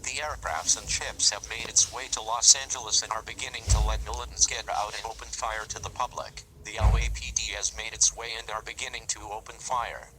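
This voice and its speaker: A man playing an urgent TV news anchor in a mock breaking news bulletin, with halting delivery and startled asides. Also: lowish voice, steady tone, background noise, lightly acted. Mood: urgent, alarmed.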